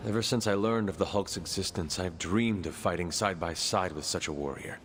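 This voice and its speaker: A man's voice: low-pitched, brisk, long reverb tail, soft background music, close to an everyday voice. An actor playing an earnest, resolute young male warrior hero in a video game. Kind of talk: video game cutscene acting.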